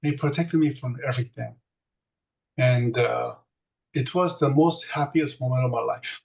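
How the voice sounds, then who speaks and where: A man's voice: mid-range voice, normal speed, creaky. Older Korean-born man, storytelling interviewee, rambling with fillers; mic set far off. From South Korea. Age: 60s. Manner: rambling, many fillers and restarts. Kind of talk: reminiscing storytelling. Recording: distant muffled mic.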